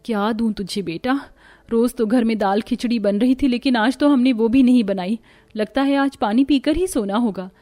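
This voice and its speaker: A woman's voice: on the high side, moderate pace, warm tone, faint music behind, natural voice. A woman voicing a poor, dutiful young daughter-in-law in a cartoon story, earnest and plaintive. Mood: resigned.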